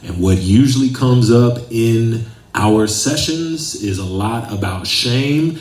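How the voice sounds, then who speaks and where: A man's voice: medium pitch, a little mellow. A man who works as a psychotherapist, introducing himself at a live event in an enthusiastic, articulate way. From Sacramento, California. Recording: echoey room.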